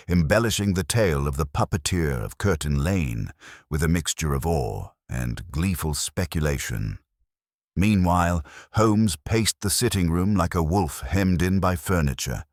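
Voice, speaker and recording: A man's voice: deep voice, no echo, studio quality. A man reading a detective story as scripted narration. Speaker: audiobook narrator.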